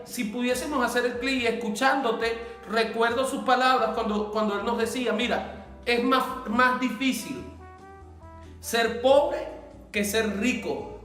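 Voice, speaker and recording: A man's voice: very high voice, bright, forceful tone, echoey room. A man who is a pastor, hosting an online interview and eagerly retelling a lesson he once heard. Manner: enthusiastic, recounting a sermon. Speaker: pastor and host.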